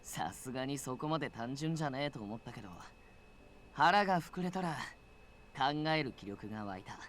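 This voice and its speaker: Actor as an earnest, fast-talking young man, very animated. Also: medium pitch, a little hiss or hum, natural voice. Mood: heartfelt. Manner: rough, casual masculine speech. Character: earnest, hot-blooded young man, loyal and easily flustered. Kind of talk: Japanese visual novel voice acting.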